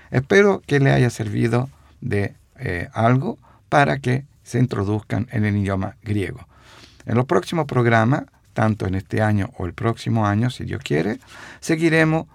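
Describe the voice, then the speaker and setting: A man giving a radio language lesson, repeating phrases slowly with hesitant fillers. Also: medium pitch, creaky, a little mellow, no room sound, studio quality. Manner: slow, repeats phrases for dictation; stumbling fillers.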